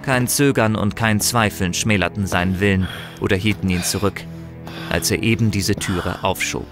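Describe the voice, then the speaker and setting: Narrator for fantasy audio drama: man, lowish voice, grave and dramatic storytelling, clear music bed underneath. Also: steady tone, normal speed. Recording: music behind the voice.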